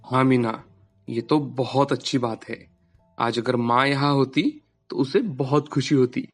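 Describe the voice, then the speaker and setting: A simple, good-natured husband in a cartoon moral tale, played by a man. His mid-pitched voice is mild and placating, over faint background music. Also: steady tone, unhurried, lightly acted. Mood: pleased. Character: simple, meek, good-natured young husband and papad seller. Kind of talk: animated moral story dialogue.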